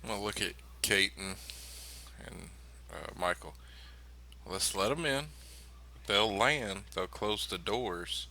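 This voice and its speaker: A man's voice: on the low side, lively intonation, takes it slowly, long pauses, pushed and bright. A man talking casually as a player planning moves in a tabletop game.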